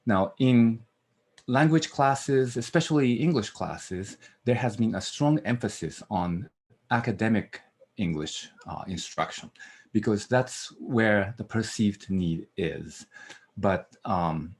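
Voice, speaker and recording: A man with a Japanese accent speaks in a very low, slightly husky voice. He lectures earnestly over a video call, leaning on frequent "uh" fillers. He is middle-aged. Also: takes it slowly, darkish tone. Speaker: guest lecturer. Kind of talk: academic lecture.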